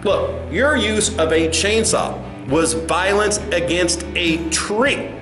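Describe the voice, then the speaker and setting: Casting: man as pompous, dismissive corporate executive for sketch comedy; high-pitched, talking down, in a roomy space. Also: expressive, slow pace. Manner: condescending, pompous. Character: smug condescending male company executive, dismissive and evasive. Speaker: narrator and sketch performer. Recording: roomy sound with music underneath.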